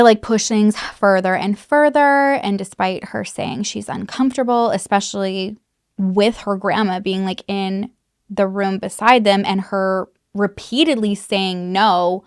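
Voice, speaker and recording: A woman's voice: mid-range voice, smooth, dry recording, clean studio sound. Chatty woman telling a true crime story. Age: adult mother. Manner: chatty, filler-heavy, casual swearing.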